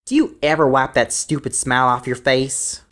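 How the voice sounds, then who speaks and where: A woman's voice: extremely low pitch, steady tone, moderate pace, fairly bright tone. A woman voicing a stubborn, surly teenage boy of the Old West in an amateur audio drama. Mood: surly, defiant.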